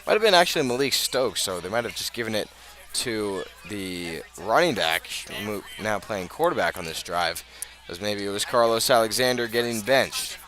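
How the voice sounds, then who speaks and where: A man doing live radio play-by-play of high school football, often halting and correcting himself as he goes. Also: expressive, a bit bright. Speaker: play-by-play sports announcer.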